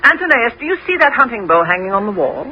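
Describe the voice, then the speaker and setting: A woman playing a proud, clever queen and faithful wife in a 1970s radio drama, with a stately, measured delivery. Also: a little high, big pitch swings, sharp tone, a little hiss or hum. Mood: commanding. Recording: dull 1970s radio broadcast.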